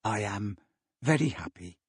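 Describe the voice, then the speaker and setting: A man voicing a tender, grieving father in an earnest, gentle storybook reading. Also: lowish voice, expressive, a little slow, slightly rough, no echo, clean studio sound, voice pushed down. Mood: quietly moved.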